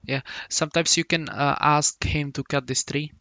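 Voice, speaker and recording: Man, from the Republic, gravelly voice, dry close sound, halting coach talk. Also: on the high side, fairly even intonation, sharp tone, dry recording. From Czech Republic. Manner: halting, many restarts and hums while explaining. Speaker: game coach.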